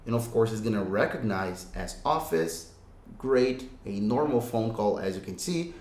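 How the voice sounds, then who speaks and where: A man's voice: fairly low pitch, lively intonation, moderate pace, darkish tone. A man presenting a casual step-by-step tech tutorial in an upbeat, emphatic and reassuring manner, often repeating himself for clarity. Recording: roomy, echoing room sound.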